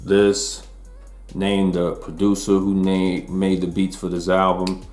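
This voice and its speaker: A man in his forties hosting a casual album review, talking to viewers in a relaxed, slangy way. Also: deep voice, slow pace, faint music behind. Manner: laid-back slangy talk to viewers.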